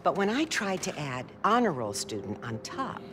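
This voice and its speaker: A middle-aged woman voicing a caring, reflective mother figure in a video game, measured and gently encouraging. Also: on the low side, big pitch swings, music in the background. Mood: gentle, reflective. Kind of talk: cinematic video game dialogue.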